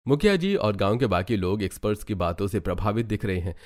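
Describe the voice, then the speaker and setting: A man's voice: lowish voice, sing-song, quick pace. A man reading scripted narration for a cartoon story.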